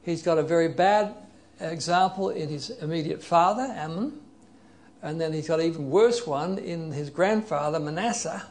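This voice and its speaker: A man preaching a sermon in an earnest, explanatory way, leading a prayer and reading scripture passages aloud slowly. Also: fairly high pitch.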